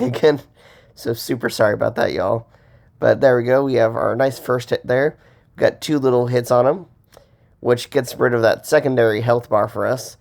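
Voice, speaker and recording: Gravelly man with a cold. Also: medium pitch, a little mellow. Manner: rambling, filler-heavy, pausing for breath. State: has a cold. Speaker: host. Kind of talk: casual gameplay commentary.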